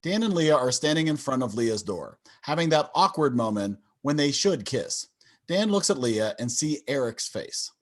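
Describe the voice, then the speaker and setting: Man, script narrator, mid-range voice, very lively intonation, reading stage directions. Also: mid-range voice, moderate pace. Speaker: narrator of a table read. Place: indoors, on a video call.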